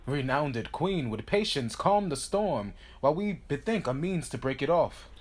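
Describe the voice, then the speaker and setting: An actor playing a stately king in a Shakespearean drama, courteous but quick to take offence, in formal verse. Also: a little high, expressive. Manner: regal, formal, declamatory. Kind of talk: Shakespearean stage-style audio drama acting.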